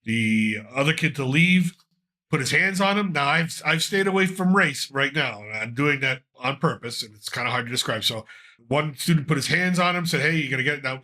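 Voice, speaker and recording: A man's voice: medium pitch, pushed and bright. A man hosting a casual talk show, commenting on news in a loose, rambling way, and congested from a cold. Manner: loose, rambling, with frequent uh fillers. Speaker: podcast host.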